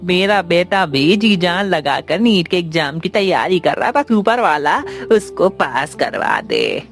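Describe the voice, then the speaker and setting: Man as a creaky, high-pitched old tea seller. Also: animated, unhurried, a bit bright, exaggerated voice. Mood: hopeful. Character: hopeful older tea seller, fond father. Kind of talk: scripted cartoon dialogue. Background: background music.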